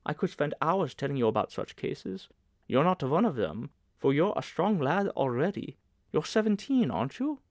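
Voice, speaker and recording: A man's voice: fairly high pitch, big pitch swings, normal speed, no room sound, clean studio sound. Audiobook role: bustling, motherly hotel manageress of mature years , played by a man, lifted voice, emphatic and chatty. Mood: brisk, surprised. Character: kindly, bustling, nervous older hotel manageress, stout woman.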